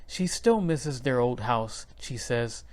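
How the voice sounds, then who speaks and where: A man reading a children's picture book aloud, in clear and expressive storybook narration. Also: medium pitch, slow.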